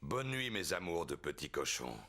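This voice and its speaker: Wildly swooping, kindly daddy pig. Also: male voice, low-pitched, big pitch swings, normal speed, noisy background. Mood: affectionate. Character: kindly, hesitant, good-natured father pig. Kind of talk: children's cartoon dub.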